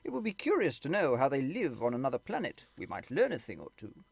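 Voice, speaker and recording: A man's voice: mid-range voice, huge pitch swings, fast talker, creaky, slightly rough, no echo, exaggerated voice. A man voicing a cheerful, good-humoured, gossiping male neighbour in an audiobook story. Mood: musing.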